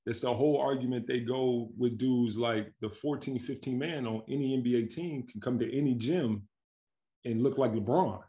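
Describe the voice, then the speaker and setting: A man in his thirties from Milwaukee, a pro basketball player, telling stories in a relaxed, slangy way on a video call from home. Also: mid-range voice, moderate pace. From Milwaukee, Wisconsin, USA. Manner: relaxed, slangy, animated storytelling with frequent laughs. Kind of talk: casual interview chat. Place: home with staircase behind, indoors. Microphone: video call webcam.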